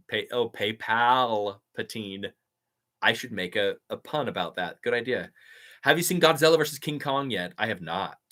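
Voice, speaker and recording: A man's voice: on the low side, sharp tone. A man who is a voice actor, chatting upbeat on a live stream and reading out viewers' comments. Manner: upbeat, chatty, quick to laugh. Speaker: YouTuber and voice actor.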